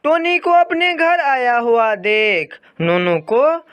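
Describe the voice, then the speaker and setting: Children's story narrator: unhurried delivery, lively melodic intonation, scripted read. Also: medium pitch, clean tone, highs cut off.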